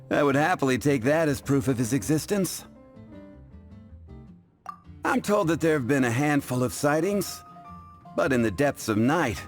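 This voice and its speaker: A man playing a calm, wry bartender. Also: mid-range voice, close to an everyday voice. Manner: wry, measured, chuckling. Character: calm, dry male tavern bartender. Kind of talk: game cutscene voice acting. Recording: game audio with faint music.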